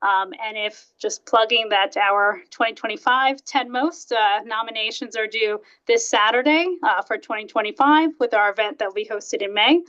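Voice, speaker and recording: Woman hosting a webinar, voice a bit high, halting phrasing, kinda muffled lo-fi call sound. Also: a bit bright. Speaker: program host introducing a speaker. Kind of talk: informal webinar introduction. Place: online video call. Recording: dull, muffled online call audio.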